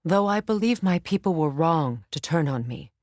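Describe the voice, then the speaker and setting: A woman playing a proud, guarded warrior goddess in a video game, speaking in a measured, commanding, solemn manner. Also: deep voice, animated, a little mellow, no echo, clean studio sound. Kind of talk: dramatic video game acting.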